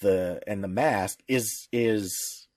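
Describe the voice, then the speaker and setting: A man's voice: lowish voice, very slow, a little mellow, dry recording, studio quality. A man co-hosting a casual podcast, explaining points and often rephrasing as he goes. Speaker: podcast co-host.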